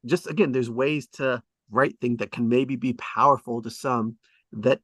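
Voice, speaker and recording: A man's voice: medium pitch, fairly even intonation, measured pace, fairly bright tone, no echo. Casual man thinking aloud, very dry sound. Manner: hesitant, thinking aloud, conversational. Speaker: host of an online script table read. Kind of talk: casual rambling discussion of screenwriting.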